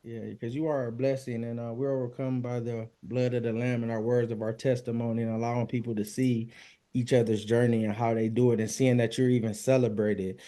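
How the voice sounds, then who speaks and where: Mellow-voiced man hosting a faith interview. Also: mid-range voice, flat delivery, a little fast. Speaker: interview show host. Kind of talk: faith-based interview chat. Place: remote online call.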